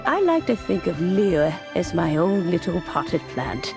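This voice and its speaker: An actress voicing an elderly, fond grandmotherly mentor in a game cutscene who talks gently and unhurriedly. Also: fairly low pitch, big pitch swings, vocal fry, music in the background, exaggerated voice. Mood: serene fond. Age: older. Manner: gentle, unhurried, softly laughing. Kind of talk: video game cutscene voice acting.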